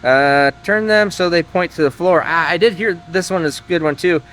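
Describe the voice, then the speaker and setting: A man's voice: a little high, normal speed, fairly bright tone, slight background noise. A man hosting an engine-building video, chatting casually to viewers and thinking aloud, often trailing off mid-sentence. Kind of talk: casual shop talk to viewers. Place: engine workshop.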